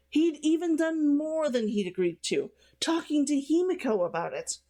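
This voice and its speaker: A woman reading her own novel aloud as scripted, expressive audiobook narration. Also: on the high side.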